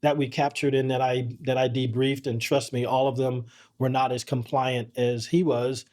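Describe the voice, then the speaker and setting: A middle-aged man, a podcast guest, telling personal stories in an earnest, measured way with frequent restarts. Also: medium pitch, flat delivery, a little mellow. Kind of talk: reflective conversational storytelling.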